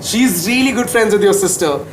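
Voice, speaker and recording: A man's voice: sits high, expressive, normal speed, low-quality recording. A man in his thirties with an Indian accent, hosting a casual talk show and interviewing in a joking, teasing way. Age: 30s. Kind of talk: casual comic interviewing in front of an audience. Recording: crowd noise behind the voice.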